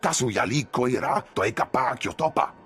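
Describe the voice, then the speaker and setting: A man voicing an alien elder in a video game, speaking an invented tongue in a measured, swooping way. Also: mid-range voice, animated, fairly bright tone, breathy edge, soft background music, heavily acted. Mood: grave, instructive.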